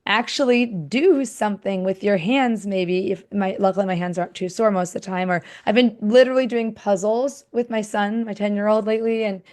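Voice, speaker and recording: A woman hosts a relaxed, conversational interview at a medium pitch. She talks informally, thinking aloud as she builds toward her questions. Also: a little fast, few pauses. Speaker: podcast host interviewing a guest.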